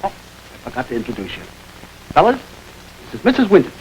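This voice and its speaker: Wisecracking gangster sidekick, highish voice, swooping intonation, sardonic, old radio crime drama. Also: male voice, wildly swooping pitch, a little fast, a little mellow. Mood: sly. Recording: noisy vintage radio recording.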